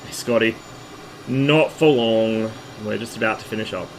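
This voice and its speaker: A hoarse man, losing his voice, casually talking through a flight-sim stream in a relaxed, rambling way. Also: fairly low pitch, normal speed, slight background noise, low-quality recording. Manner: relaxed, rambling, with fillers and asides.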